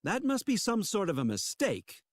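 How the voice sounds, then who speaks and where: A defensive male townsman from an adventure game, scornful and exaggerated. His fairly high voice swoops dramatically, recorded close and dry. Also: huge pitch swings, moderate pace, no room sound, full character voice. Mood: evasive, indignant. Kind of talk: video game character dialogue.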